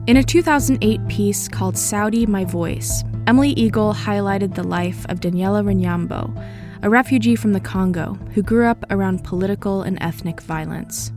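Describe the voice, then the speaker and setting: A woman's voice: medium pitch, darkish tone. A woman hosting a podcast, reading a composed, scripted introduction. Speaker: podcast host.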